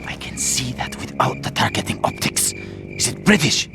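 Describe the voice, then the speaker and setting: An actor playing a wary, grumbling soldier in a war game, in a hushed, gravelly voice. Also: male voice, medium pitch, expressive, normal speed, music in the background, close to an everyday voice. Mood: tense, wary. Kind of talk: war game cutscene acting.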